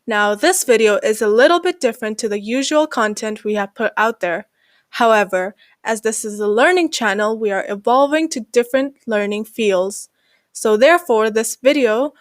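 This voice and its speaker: A woman's voice: fairly high pitch, no room sound. Woman teaching English basics, dry close voice. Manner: slows down to read out example sentences. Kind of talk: scripted English lesson narration.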